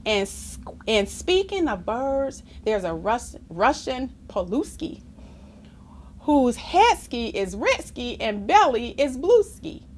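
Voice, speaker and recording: A woman reads a children's rhyming book aloud with theatrical flair. Her voice sits fairly high and swings widely in pitch, and she takes her time over the lines. She chats to young listeners as she goes. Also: slow, slight background noise. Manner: expressive, theatrical, chatty asides to young listeners. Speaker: storybook reader.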